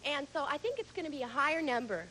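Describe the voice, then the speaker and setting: A woman's voice: high-pitched, sing-song, quick pace, sharp tone, a little hiss or hum, poor audio. A young woman from Northern California, a game show contestant who often restarts her sentences. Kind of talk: casual chat and answering questions.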